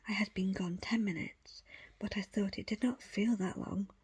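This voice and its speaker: A woman reading a book aloud as ASMR in a breathy, unvoiced whisper, with hushed, deliberate phrasing in short pieces. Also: mid-range voice, fairly even intonation. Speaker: audiobook reader.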